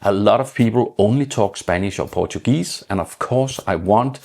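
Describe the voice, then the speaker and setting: A middle-aged man from Denmark with a Danish accent, explaining his channel strategy in an informal vlog, measured and plain, with some slightly broken phrasing. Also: on the low side, darkish tone. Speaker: channel host.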